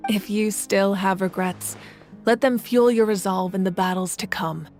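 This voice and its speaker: A woman playing a calm, wise leader of warriors in a video game, speaking in a measured, formal and reassuring way. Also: mid-range voice, lightly acted. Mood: reassuring, solemn. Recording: music behind the voice.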